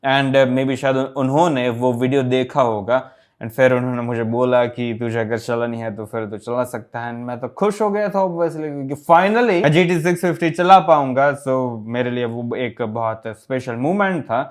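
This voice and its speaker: A young man from Gujarat with a Gujarati accent, telling a story casually to camera as a fitness vlogger, mixing in English words and pausing often. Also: mid-range voice. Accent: Gujarati-accented Hindi. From Gujarat, India. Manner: chatty, mixes English words into Hindi, many pauses.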